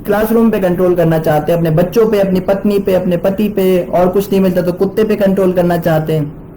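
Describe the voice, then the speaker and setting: A man's voice: high-pitched, mellow, a little hiss or hum, low-quality recording. A man, likely in his twenties, giving a devotional scripture lecture in an explanatory way with rhetorical questions and everyday examples. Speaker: religious teacher giving a scripture class.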